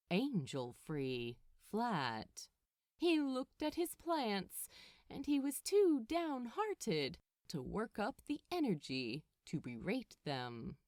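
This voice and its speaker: Woman narrator, slow and very expressive. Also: on the high side, dry recording, studio quality. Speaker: fan fiction narrator. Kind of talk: expressive scripted story narration.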